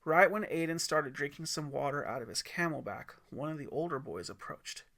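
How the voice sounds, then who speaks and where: A man's voice: fairly high pitch, expressive, a little fast, gravelly, fairly bright tone. A man reading scripted story narration as the narrator of his own audiobook.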